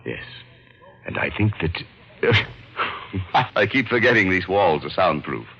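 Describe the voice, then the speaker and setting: An actor playing a rich, fussy, self-important art collector and unhappy husband in an old-time radio detective drama, with a pompous, fluttery manner. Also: male voice, sits low, animated, slow, vocal fry, pushed and bright, husky, full character voice. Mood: fussy, agitated. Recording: dull, lightly noisy old radio recording.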